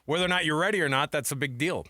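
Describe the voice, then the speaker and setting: A man with an American accent and a bright, forceful voice, close and dry in the sound. He co-hosts sports talk radio with casual banter, rambling through a thought and rewording it several ways. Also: on the high side, racing, dry recording. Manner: informal, rambling, rephrases questions.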